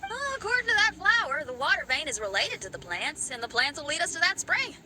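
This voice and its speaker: A woman's voice: high-pitched, sing-song, quick pace, bright, forceful tone, a little hiss or hum, exaggerated voice. A woman voicing an upbeat young rock musician with a rebellious spirit, who laughs easily. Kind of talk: video game dialogue.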